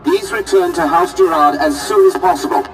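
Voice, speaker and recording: A man's voice: sits high, huge pitch swings, brisk, gravelly, full character voice. A man playing an agitated noble calling urgently over a radio comlink in a sci-fi game. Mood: urgent, frustrated. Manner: urgent, irritated. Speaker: video game voice actor. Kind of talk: dramatic game cutscene dialogue. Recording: distant radio comlink, faint music.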